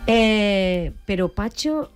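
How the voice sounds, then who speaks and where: A man's voice: high voice, lively intonation, drawn-out words, faint music behind. A man with a Valencian accent presenting and interviewing on a studio microphone at a radio station.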